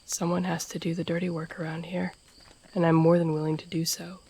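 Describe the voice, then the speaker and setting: A woman playing a stern, loyal, guarded masked assassin in a fantasy audio roleplay, speaking gravely and formally, often in a hushed breath. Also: lowish voice, flat delivery, brisk. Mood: grave, sincere. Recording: noisy outdoor night ambience with crickets. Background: crickets and night insects.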